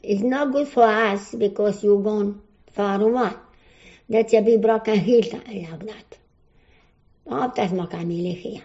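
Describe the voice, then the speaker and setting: Older Croatian woman, slow halting English. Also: mid-range voice, dark tone. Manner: halting broken English, searching for words. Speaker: interviewee. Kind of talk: oral history interview. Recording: dull lo-fi recording.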